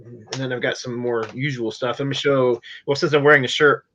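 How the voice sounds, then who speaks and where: A middle-aged man with a medium-pitched, bright and forceful voice hosts a casual music chat. He sounds matter-of-fact and opinionated. The recording is slightly dull. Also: fairly even intonation, fairly quick, slight background noise. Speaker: podcast host.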